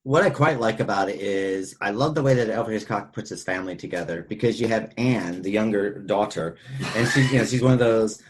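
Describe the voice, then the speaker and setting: A man with a fairly low, distinctly husky voice talks casually as a podcast host. His delivery is unscripted and conversational. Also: brisk. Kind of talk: casual film discussion.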